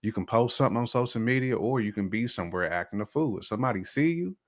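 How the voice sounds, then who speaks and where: American guy w a low voice chatting on a podcast, slangy, repeats stuff to drive the point. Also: lively intonation, quick pace. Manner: colloquial, slangy, emphatic repetition. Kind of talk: casual podcast conversation.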